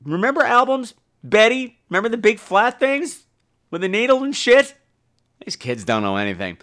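A man's voice: extremely high pitch, very animated, normal speed, sharp tone. A man hosting a show, talking casually and rambling through reviews with theatrical emphasis. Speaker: podcast host. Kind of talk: casual solo review monologue.

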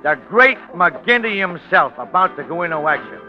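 On a muffled old radio recording with music behind, a wry American male narrator. His voice is fairly high, and he guides the listener in casual, confiding patter in 1940s radio drama style. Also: lively intonation, normal speed. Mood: wry, scene-setting. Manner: wry, colloquial, conspiratorial tour-guide patter. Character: wry storyteller guiding listeners through a seedy bar.

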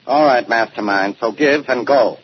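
A man playing a gruff, irritable, sarcastic homicide inspector in a 1940s radio detective drama. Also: mid-range voice, moderate pace, close to an everyday voice. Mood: sardonic, irritable. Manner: blunt, sardonic, impatient. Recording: muffled, noisy old-time radio recording.

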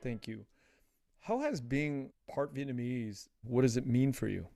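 A man's voice: mid-range voice, measured pace, creaky, dark tone, no room sound, soft background music. A man hosting a podcast, asking a guest loose, conversational questions. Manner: casual, rambling questions. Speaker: podcast host, interviewer.

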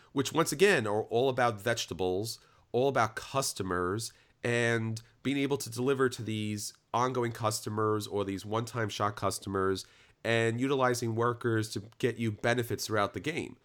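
A man's voice: medium pitch, a bit bright. A man co-hosting a podcast, talking in a casual, chatty and enthusiastic way with frequent restarts. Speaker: podcast co-host. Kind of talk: casual chat.

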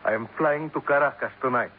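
An actor playing a confident, imperious construction boss with a Spanish accent, speaking formally in a 1950s radio drama. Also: male voice, mid-range voice, a little fast, phone-quality sound, natural voice. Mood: assured, cold. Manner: formal, assured. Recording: noisy, thin 1950s radio recording.

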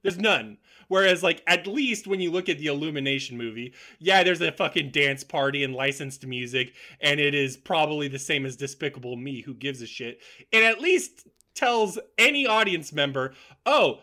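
A man's voice: fairly high pitch, animated, moderate pace, bright, forceful tone. A man co-hosting a podcast, giving casual film opinions in an emphatic, slangy way and swearing freely. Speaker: podcast co-host.

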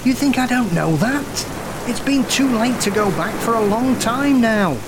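An actor playing a guilt-ridden brother who sounds exasperated and remorseful. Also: male voice, extremely high pitch, expressive, a little slow, full character voice. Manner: exasperated, then hushed and remorseful. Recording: noisy storm backdrop.

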